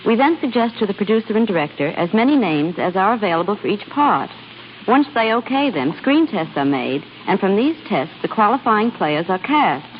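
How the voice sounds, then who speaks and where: A woman's voice: mid-range voice, sing-song, normal speed. A woman giving a scripted, formal and instructive talk as a guest on 1930s radio. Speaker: guest casting director. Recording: dull, noisy 1930s radio broadcast.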